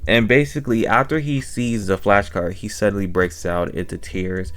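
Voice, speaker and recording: A man's voice: on the low side, fairly even intonation, normal speed. A man hosting a casual, rambling recap and reaction, emotive and slangy, often repeating phrases for emphasis. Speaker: host reviewing an audio drama.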